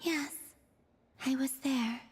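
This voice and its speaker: Soft, high-voiced, unhurried dreamy maiden. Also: female voice, heavily acted. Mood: warm, musing. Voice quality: soft, breathy, often near whisper. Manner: unhurried, gentle delivery. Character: gentle, dreamy young moon maiden, softly grateful. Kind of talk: video game voice acting. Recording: music behind the voice.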